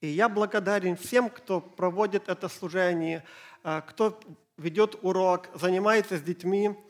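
A middle-aged man, Ukrainian his first language, preaching a church sermon in short phrases with pauses for an interpreter. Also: sits high, steady tone. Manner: slow, halting German, pausing phrase by phrase. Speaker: pastor.

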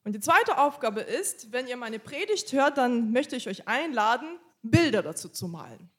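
Woman interpreting a sermon, brisk and casual. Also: fairly high pitch, sing-song. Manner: quick, casual rendering with small slips and restarts. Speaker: church interpreter. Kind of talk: live consecutive interpreting of a sermon.

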